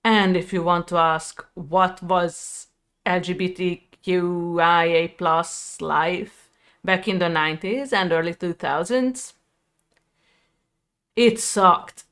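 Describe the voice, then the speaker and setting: Video creator, female, thirties, Hungarian accent. Unhurried, smooth delivery for reflective personal storytelling. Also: lowish voice, steady tone, fairly bright tone. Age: 30s.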